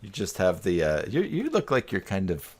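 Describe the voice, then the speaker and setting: A man's voice: deep voice, wildly swooping pitch, normal speed, a little mellow, slightly rough. A man hosting a live stream in casual, teasing chat on a video call. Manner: joking, teasing.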